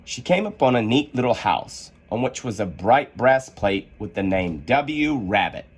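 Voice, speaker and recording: A man reading a children's storybook aloud as an expressive storyteller. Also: medium pitch, measured pace, slight background noise.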